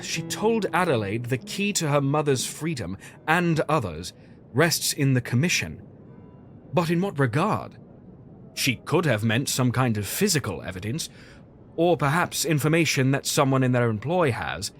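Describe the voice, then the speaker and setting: An actor playing a caring, exasperated Victorian doctor in an audio drama, fussy, earnest and gently scolding. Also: male voice, medium pitch, sing-song, moderate pace, faint music behind, lightly acted. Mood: concerned, pondering.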